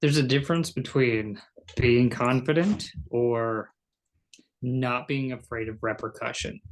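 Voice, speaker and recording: A man's voice: mid-range voice, fairly even intonation, unhurried, poor audio. A man co-hosting a podcast in a casual chat, speaking bluntly and jokingly with plenty of swearing. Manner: blunt, profane, jokey. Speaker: podcast co-host.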